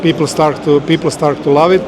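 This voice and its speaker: A man with a Serbian accent, a guest in a casual interview, speaking in a steady, measured way with some hesitation. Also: a little high, a crowd in the background. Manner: steady, measured, a little halting.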